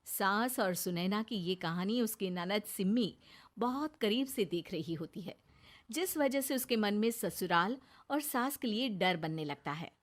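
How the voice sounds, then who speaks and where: A woman's voice: medium pitch, animated, unhurried. A woman narrator reading a scripted moral story for a cartoon.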